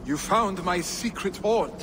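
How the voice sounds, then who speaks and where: An actor playing a carefree, boastful young Viking warrior and chieftain's heir in a video game, with a flippant manner. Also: male voice, high-pitched, measured pace, noisy background. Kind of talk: video game cutscene acting.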